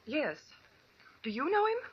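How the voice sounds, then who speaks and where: An actress playing an anxious, genteel young niece in a 1940s radio drama. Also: female voice, high-pitched, very animated, a little slow. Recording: dull old radio recording.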